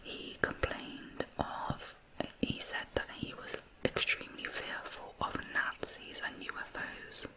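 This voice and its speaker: A woman narrates true crime in ASMR style, in a soft, breathy, unhurried whisper. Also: poor audio.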